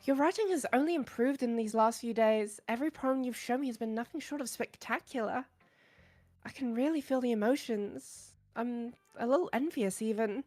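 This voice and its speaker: A woman voicing a shy, bookish, insecure teenage girl in a visual novel, hesitant, soft-spoken and earnest. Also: on the high side, normal speed, close to an everyday voice. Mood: touched, admiring. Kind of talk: visual novel character voice acting.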